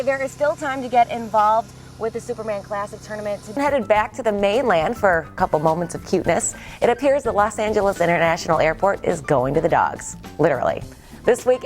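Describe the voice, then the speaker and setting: A young woman reporting local news and weather for TV in an upbeat, chatty way, with a few fillers. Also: medium pitch.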